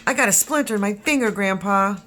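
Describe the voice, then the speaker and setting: A woman's voice: medium pitch, brisk, fairly bright tone, slight background noise, lightly acted. A woman voicing a grandchild in a storybook, talking plainly about small troubles. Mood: complaining. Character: grandchildren complaining to their grandpa.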